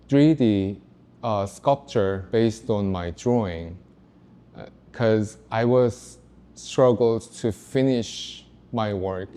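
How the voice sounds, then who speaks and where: A man in his thirties from South Korea, a native Korean speaker, telling his story as an artist in a halting, careful way with pauses. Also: on the low side, mellow. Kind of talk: panel talk.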